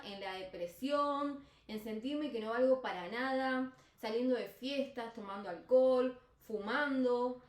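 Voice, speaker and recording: A woman's voice: a little high, recorded from a distance. Candid young Buenos Aires woman, roomy echo. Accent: Buenos Aires Spanish. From Argentina. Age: young adult. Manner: candid, rambling with restarts and repetitions. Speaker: vlogger. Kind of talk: personal confessional monologue.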